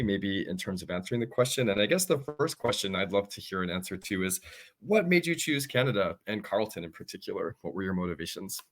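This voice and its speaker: A man hosting an online university information session, upbeat and welcoming in a conversational way. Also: on the low side. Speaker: admissions host. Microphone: video call.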